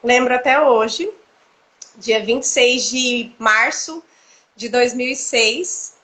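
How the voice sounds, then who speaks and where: Lively high-voiced woman in her thirties. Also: slow. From Cuiabá, Mato Grosso, Brazil. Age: 30s. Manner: animated, laughs often, many fillers. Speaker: church dance ministry leader, guest. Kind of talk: casual testimony chat.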